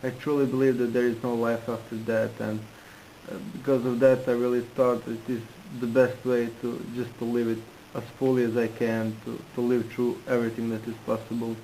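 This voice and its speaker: A man interpreting into English, halting and restarting in phrasing. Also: mid-range voice, little pitch movement, dark tone, slight background noise, rough recording. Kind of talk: consecutive interpreting.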